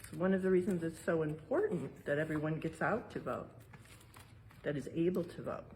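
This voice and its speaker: Female educator, American, slightly low voice. Picture-book read-aloud with simple explanatory asides, background noise. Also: normal speed, a little mellow, rough recording. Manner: simple, explanatory, addressing young listeners.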